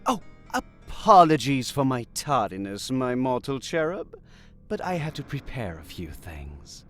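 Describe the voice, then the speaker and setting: An actor playing an enigmatic, knowing god of deception in a fantasy audio drama, speaking in a measured, formal, cryptic way. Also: male voice, medium pitch, big pitch swings, fairly bright tone. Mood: courteous, puzzled. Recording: music bed under the voice.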